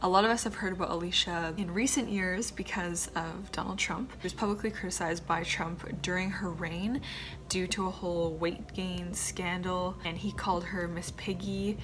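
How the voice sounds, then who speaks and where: Chatty young woman with a low voice. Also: steady tone, moderate pace, noisy background. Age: 20s. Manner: chatty, with asides muttered under her breath. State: slightly stuffed up. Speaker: vlogger, former pageant contestant. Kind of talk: casual chat to camera.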